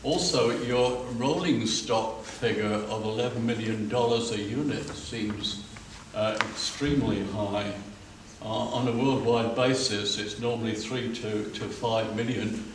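A man from the audience asking pointed questions in a loud voice, reeling off cost figures. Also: lowish voice, a little slow, noisy background. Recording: very echoey hall from far off.

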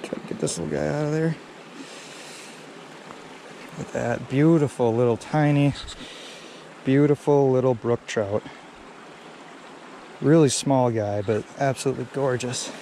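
A man's voice: mid-range voice, measured pace, long pauses. A young man narrating casually to viewers while fishing outdoors, recorded on a head-mounted camera. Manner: relaxed, talks to viewers as he fishes. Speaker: fishing vlogger. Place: outdoors by a creek and pond. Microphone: head-mounted action camera. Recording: clear water and outdoor noise behind. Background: running stream water.

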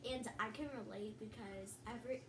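A woman's voice: mid-range voice, fairly even intonation, fairly quick. A young girl co-hosting a casual storybook reading, chatting and reading aloud. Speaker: co-host and storybook reader. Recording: distant mic, roomy echo, faint noise.

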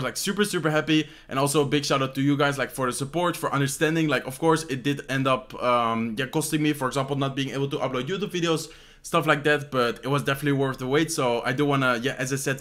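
A man's voice: mid-range voice, fast, a bit bright. A young man from the Netherlands with a Dutch accent answers fan questions casually at home. He speaks English as a second language, rambles, and fills gaps with "like". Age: young adult. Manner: rambling, many "like" fillers and "how you say this" searches. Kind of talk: casual Q&A chat. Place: bedroom at home, indoors.

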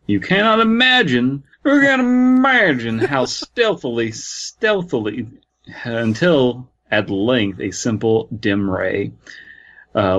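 A man's voice: fairly low pitch, fairly bright tone, dull audio. A man hosting a comedy podcast, reading aloud and chatting with a mocking, joking manner. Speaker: podcast host. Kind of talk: comedic read-aloud with riffing commentary.

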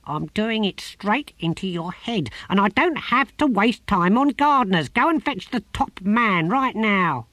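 A man's voice: high-pitched, lively intonation, a little slow, few pauses, sharp tone, no echo, voice pushed up, heavily acted. A man voicing an imperious old tortoise who claims to be a god and barks commands and curses. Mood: imperious, furious.

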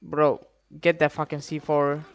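A young man giving casual gameplay commentary in an excitable, slangy, profane way, speaking into a headset. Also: fairly high pitch, unhurried, highs cut off. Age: young adult. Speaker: gamer, YouTube host. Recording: clear game gunfire noise behind voice. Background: shooter game gunfire and clicks.

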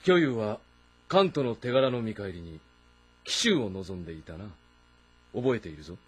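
A husky middle-aged warlord of ancient China, shrewd and commanding. His delivery is brooding and measured yet swings widely in pitch, over background music in a historical game drama. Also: animated. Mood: probing. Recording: music behind the voice.

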